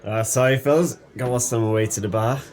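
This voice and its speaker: An actor playing a drunken, guilt-ridden former sailor in an audio drama, effusive, sentimental and rambling. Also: male voice, medium pitch, steady tone, brisk, a little mellow, natural voice. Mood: cheerful, apologetic.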